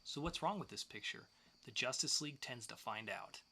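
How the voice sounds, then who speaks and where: A man's voice: medium pitch, animated, normal speed, pushed and bright, a little hiss or hum. A man co-hosting a podcast, presenting scripted segments in an upbeat style. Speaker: podcast co-host.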